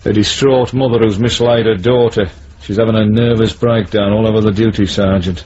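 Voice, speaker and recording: Actor as a world-weary British detective, muffled radio recording. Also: male voice, fairly low pitch, steady tone, fairly quick, darkish tone, lightly acted. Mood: irritated, sardonic. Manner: brusque, world-weary, sardonic, officially polite. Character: weary, cynical British police detective sergeant, passed over. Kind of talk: radio drama acting. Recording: dull, lo-fi 1970s radio recording.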